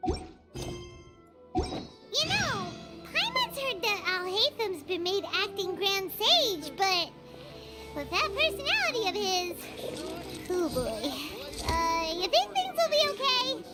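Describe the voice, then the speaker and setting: A woman's voice: extremely high pitch, big pitch swings, slow pace, long pauses, exaggerated voice. A woman voicing a small, chatty, curious childlike fairy companion in a video game. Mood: curious, uneasy. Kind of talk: video game character acting. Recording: loud game music over voice.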